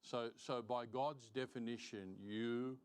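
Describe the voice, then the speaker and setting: A man teaching a Bible lesson as a preacher, deliberate and emphatic, repeating phrases for stress and reading out verses. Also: medium pitch, fairly even intonation, smooth. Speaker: preacher teaching Sunday school. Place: church, live-streamed service.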